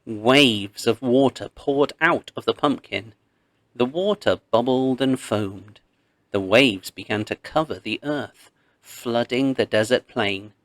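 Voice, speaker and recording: A man narrates a storybook myth in a medium-pitched voice. He takes his time, shaping phrases expressively and leaving pauses. The sound is very dry, with no room around it. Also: pushed and bright, no echo. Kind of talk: scripted read-aloud storytelling of a myth.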